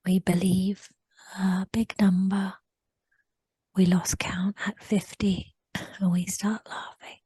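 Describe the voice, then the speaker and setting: Slow whispering woman, breathy. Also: mid-range voice, level tone, dry recording. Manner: soft whisper, slow, repeats short phrases. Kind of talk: whispered first-person recollection in a guided session.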